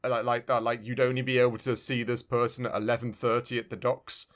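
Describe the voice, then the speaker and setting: A man's voice: medium pitch, level tone, a little fast, a bit bright. A man co-hosting a casual video game review podcast, talking in a rambling way and often restarting his phrases. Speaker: podcast co-host.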